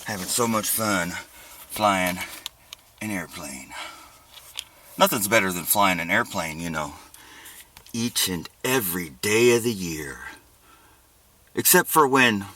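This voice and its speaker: A man's voice: low-pitched, lively intonation, slow pace, long pauses, pushed and bright, a little hiss or hum. A man giving a rambling, off-the-cuff monologue as a vlogger, with a sarcastic, mock-earnest manner.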